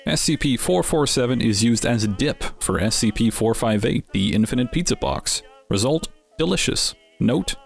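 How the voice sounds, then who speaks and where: A man's voice: medium pitch, animated, normal speed, creaky, hardly any pauses, slightly rough, faint music behind. A man giving scripted narration as the host of an explainer series, with wry, dryly humorous asides.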